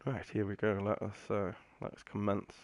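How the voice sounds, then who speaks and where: A man's voice: on the low side, level tone, fairly quick, no echo. A British man giving casual, chatty, rambling commentary while streaming a video game. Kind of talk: casual gameplay commentary with banter. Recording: game music and sounds behind. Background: video game music.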